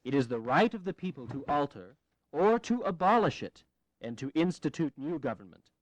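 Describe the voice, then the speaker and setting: A man's voice: a little high, sing-song, normal speed, dry recording, rough recording. An actor playing an articulate founding father in a historical audio drama, declaiming formally. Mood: solemn.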